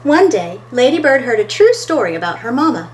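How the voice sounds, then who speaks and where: A woman's voice: a little high, big pitch swings, brisk, slight background noise. A woman reading a picture book aloud as a storytime host, expressively and talking to her listeners between pages.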